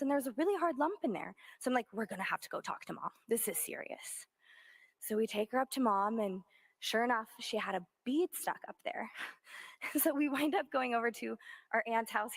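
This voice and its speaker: A woman speaking at a memorial, her voice loud and a bit high. She tells a fond story as the older sister, laughing along the way.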